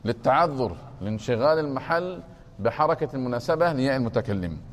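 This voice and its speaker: A man teaching a grammar class, explaining slowly and repeating points, often stopping to ask whether it is clear. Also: mid-range voice, expressive, slight background noise. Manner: deliberate, repeats points and keeps asking if it is clear. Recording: long reverb of a hall.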